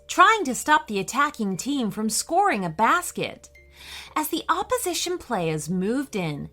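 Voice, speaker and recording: A woman tells a children's story in a highly animated, bright voice. She reads deliberately and expressively, taking her time with each phrase. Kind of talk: expressive scripted bedtime story narration.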